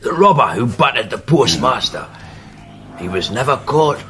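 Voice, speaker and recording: A man's voice: medium pitch, very animated, normal speed, pushed and bright, husky, heavily acted. An actor playing a frail, confused old man who rambles and repeats himself. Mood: rambling. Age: older. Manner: wandering, repetitive "aye". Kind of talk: radio drama acting.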